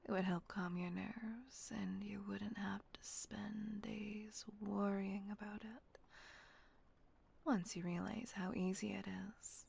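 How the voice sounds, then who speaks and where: A woman voicing a gentle, protective female vampire caretaker in a whispered audio roleplay, soothing and coaxing. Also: mid-range voice, steady tone, takes it slowly, exaggerated voice. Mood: tender whisper. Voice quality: soft breathy whisper.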